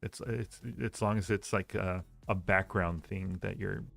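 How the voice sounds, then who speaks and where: A man with a fairly low voice and plenty of vocal fry hosts a casual advice stream. He talks in a halting, rambling and reassuring way. Faint music plays underneath. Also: fairly even intonation, fairly bright tone. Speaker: livestream host giving advice. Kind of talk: casual chat, answering listener questions.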